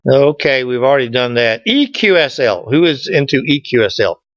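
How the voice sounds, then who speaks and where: A man's voice: mid-range voice, sing-song, normal speed, fairly bright tone, no room sound. A man giving an informal slide presentation to a group, folksy and joking in manner. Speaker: club presenter. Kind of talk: informal slide presentation with audience back-and-forth. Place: meeting room, standing at a lectern indoors. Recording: dull, lo-fi room recording.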